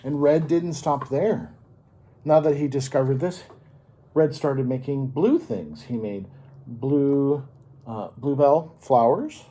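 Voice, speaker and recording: Animated, unhurried man reading a children's story. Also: medium pitch. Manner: expressive, unhurried, with dramatic pauses. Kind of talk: animated read-aloud of a picture book to children.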